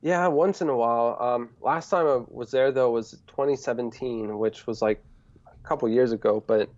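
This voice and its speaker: Laid-back man on a dull-sounding stream. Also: medium pitch. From United States. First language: Greek. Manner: relaxed, rambling, laughs easily. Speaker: guest. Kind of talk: casual live-stream chat.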